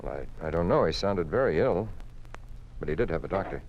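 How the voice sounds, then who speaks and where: An actor in a 1950s radio drama playing an earnest, conciliatory professor with a polite, expressive way of speaking. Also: male voice, low-pitched, fairly quick. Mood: worried. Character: earnest, conciliatory male professor and family man. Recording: dull, faintly noisy vintage radio recording.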